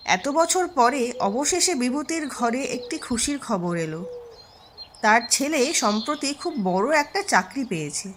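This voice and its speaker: A woman's voice: on the high side, lively intonation, normal speed, a little hiss or hum. A woman narrator reading a scripted storybook tale for a cartoon.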